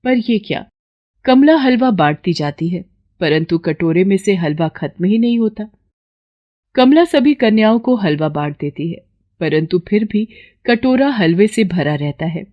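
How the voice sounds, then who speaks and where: A woman's voice: medium pitch, expressive, unhurried, dull audio. A woman narrating a scripted moral story for children as a storyteller.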